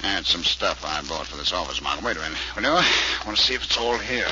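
A man's voice: deep voice, fast, close to an everyday voice. An American actor playing a needling, slangy tough-guy businessman in a 1940s radio crime drama. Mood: smug, needling. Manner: slangy, blunt, taunting. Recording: muffled, hissy 1940s radio recording.

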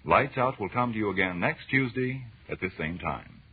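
A man with a husky voice announcing and asking questions as host of a 1930s radio broadcast. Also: on the low side, lively intonation, moderate pace, narrow, tinny audio.

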